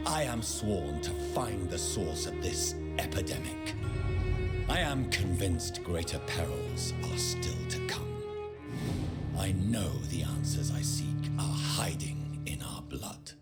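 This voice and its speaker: An actor playing a brooding gentleman doctor turned vampire in a video game, with a British accent and a grave, formal manner. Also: on the low side, exaggerated voice. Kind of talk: dramatic video game acting.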